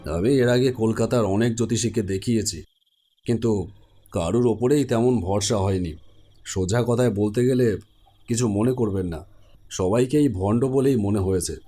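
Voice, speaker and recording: Man playing middle-aged sceptical parent, lowish voice, measured, frank, cartoon story, music behind. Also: mellow, close to an everyday voice. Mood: frank, doubtful.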